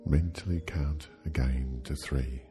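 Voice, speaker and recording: A man leading a guided sleep hypnosis, speaking soothingly and gently in short, halting phrases with long pauses. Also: a bass voice, steady tone, over music.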